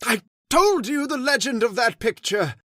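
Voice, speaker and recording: A man's voice: very high voice, animated, moderate pace, noisy background. An actor playing a fearful, pious old male servant with solemn, ominous warnings in an old-time radio horror play. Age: older.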